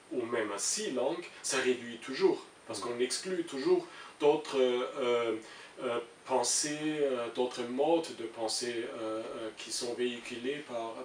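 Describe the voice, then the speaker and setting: A middle-aged man from Germany, a native German speaker with a German accent, answering interview questions as an international civil servant and often searching for his words. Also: medium pitch. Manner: hesitant, searching for words with frequent repetitions. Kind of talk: interview answers, explaining an opinion. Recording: roomy, distant mic with faint hiss.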